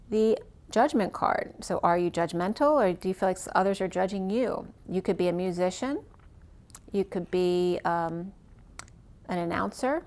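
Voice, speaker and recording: A woman's voice: medium pitch, darkish tone. A woman giving a casual tarot reading, talking in a chatty, meandering way with many fillers while she is losing her voice. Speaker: tarot reader.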